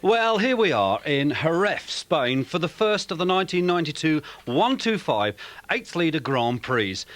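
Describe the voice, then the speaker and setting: High-voiced man calling a motocross race. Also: moderate pace. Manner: energetic, rising excitement on race calls. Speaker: motocross commentator. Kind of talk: race commentary.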